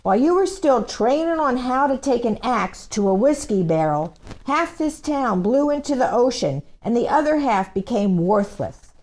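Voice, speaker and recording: A woman's voice: on the high side, lively intonation, moderate pace, a little mellow, natural voice. A woman playing a condescending, hard-nosed official in a table reading, sarcastic and pointed. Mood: scornful.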